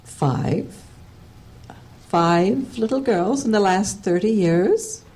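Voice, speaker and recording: A woman's voice: mid-range voice, expressive, very slow, darkish tone. A woman playing a prickly, condescending, no-nonsense researcher in an audio drama, with a haughty, dismissive manner. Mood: guarded, haughty.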